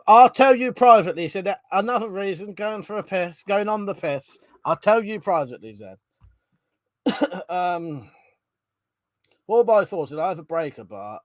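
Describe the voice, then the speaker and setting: A man with a stuffy, cold-congested voice, pitched a little higher than most men's. He chats loosely with viewers, restarting sentences and filling gaps with ums. The sound is dry and close, with no room around it. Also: no room sound. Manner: chatty, rambling, frequent ums and restarts. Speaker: live stream host. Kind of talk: casual live chat reading out viewer comments.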